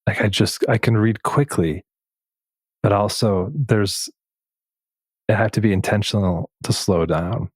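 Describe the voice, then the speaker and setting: A man's voice: on the low side, a little slow, pauses often, husky, no echo. A man hosting a reflective conversational interview in an earnest, affirming manner. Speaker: podcast host.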